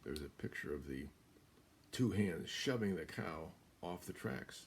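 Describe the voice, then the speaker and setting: Gravelly older American man reading a storybook. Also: low-pitched, expressive, measured pace, a little hiss or hum. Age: 70s. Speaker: guest storyteller at a church story time. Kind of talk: reading a children's picture book aloud. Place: small room indoors.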